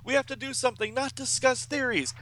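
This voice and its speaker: Man acting out urgent, high-pitched young comic book superhero. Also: fairly even intonation, sharp tone, slight background noise. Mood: desperate. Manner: breathless, melodramatic, halting. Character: anguished teenage male superheroes crying out urgently. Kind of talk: dramatic comic book read-aloud.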